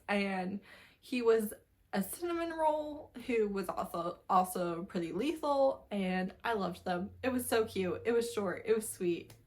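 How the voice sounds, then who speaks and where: A woman giving a casual, chatty book review in an informal, emphatic way, often repeating phrases for emphasis. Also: fairly low pitch.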